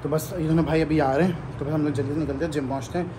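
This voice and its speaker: A young man chatting casually to a phone camera held at arm's length, in an excited vlog style. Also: fairly high pitch, rapid-fire, noisy background. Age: young adult. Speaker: vlogger. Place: indoors at home at night, dim room. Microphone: phone held at arm's length, earphones.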